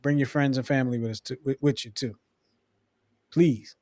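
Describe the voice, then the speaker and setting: A man's voice: medium pitch, steady tone, moderate pace, a little mellow, no room sound. Laid-back podcast host losing his voice. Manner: laid-back, teasing, repeats sign-off phrases. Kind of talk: casual sports podcast chat.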